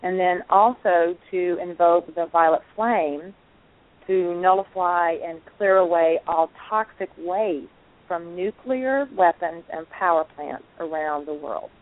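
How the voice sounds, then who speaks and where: A woman's voice: on the low side, a little slow. A woman co-hosting an online talk show, chatting in an effusive, enthusiastic way. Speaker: co-host. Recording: phone-like narrow line.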